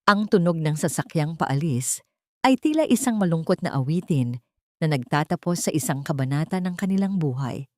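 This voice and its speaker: A woman's voice: sits low, animated, normal speed, no echo, clean studio sound. A woman reading a scripted dramatic story as an audiobook narrator.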